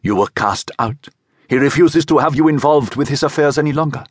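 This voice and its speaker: A man's voice: on the high side, brisk, husky, no room sound, clean studio sound, pitched above the performer's own voice. A man voicing a weaker younger man in a narrated story, emotional and wavering between scorn and submission. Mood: scornful. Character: Judas, weaker younger man, conflicted, scornful, easily swayed.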